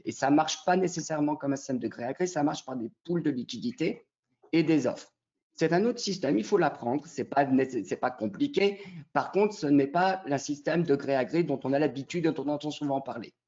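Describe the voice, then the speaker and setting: A man's voice: fairly high pitch, a little fast, darkish tone. Man explaining, slightly muffled audio. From Quebec, Canada. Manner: didactic, poses questions then answers them. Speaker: interview guest, real estate tokenisation company representative. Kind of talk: explanatory interview talk.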